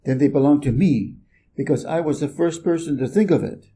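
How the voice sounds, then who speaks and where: A man's voice: on the high side, fairly quick, warm tone, lightly acted. A man voicing a busy, self-important, irritable middle-aged businessman from a storybook, brusque and emphatic. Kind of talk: storybook character reading.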